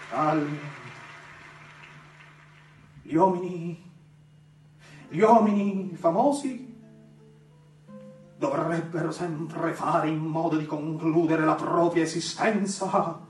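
An actor playing a self-adoring general and dictator in a stage play, orating grandly and haltingly with sudden swells. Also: male voice, on the high side, a little hiss or hum. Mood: falsely humble. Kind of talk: theatrical stage speech. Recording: echoey theatre stage, distant microphone.

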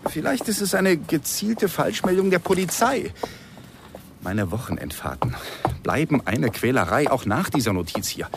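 An actor playing a worried, placating lover in a radio drama, reasoning in a hesitant, measured, coaxing way. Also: male voice, on the high side, expressive, gravelly, noisy background. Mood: worried, insistent.

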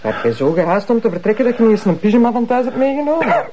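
An actress plays a bossy, teasing Flemish prosecutor's wife in a TV crime drama. Her voice is mellow and very animated, moving freely up and down. There is faint noise behind her. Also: fairly high pitch, rapid-fire, close to an everyday voice. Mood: sarcastic, annoyed. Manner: blunt, teasing, commanding. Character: sharp-tongued, bossy wife of a police inspector, a prosecutor. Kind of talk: naturalistic TV drama acting.

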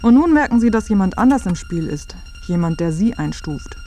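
A woman's voice: mid-range voice, sing-song, a little fast, mellow, faint music behind. A woman narrating a scripted radio feature, addressing the listener directly in a wry way.

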